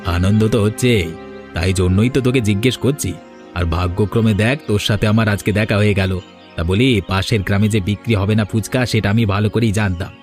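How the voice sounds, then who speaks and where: Man doing a low, gloating village bully. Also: fairly even intonation, fairly quick, darkish tone, faint music behind, natural voice. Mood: gloating, mocking. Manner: mocking, threatening. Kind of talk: Bengali moral-story cartoon dubbing.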